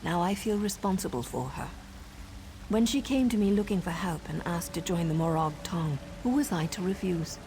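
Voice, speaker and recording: A woman's voice: lowish voice, moderate pace, mellow, a little hiss or hum, lightly acted. An actress voicing a wry, teasing and self-assured female assassin in a fantasy video game. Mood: earnest, protective. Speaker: assassin and mentor. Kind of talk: video game character dialogue.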